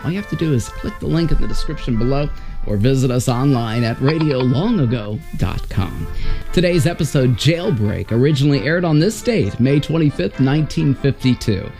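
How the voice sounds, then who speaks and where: A man's voice: medium pitch, lively intonation, moderate pace, darkish tone. A man giving a scripted introduction as host of a vintage radio series. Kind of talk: scripted narration. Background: faint music.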